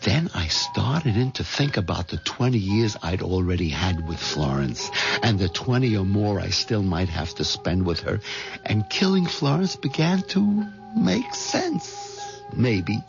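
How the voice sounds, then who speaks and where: An actor playing a mild, hen-pecked middle-aged bank clerk turned nervous plotter, stammering and hesitant, in 1970s radio drama style. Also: male voice, on the low side, very animated, a little slow, creaky, over music, heavily acted. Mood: confiding narration. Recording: dull lo-fi 1970s radio recording.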